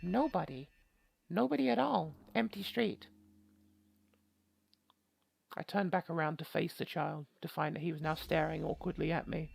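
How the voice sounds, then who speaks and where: A woman's voice: sits low, normal speed, gravelly, pauses often, darkish tone. A woman streaming a game, reading the story aloud with irreverent, sweary, joking commentary. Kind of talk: game playthrough, narration mixed with casual commentary.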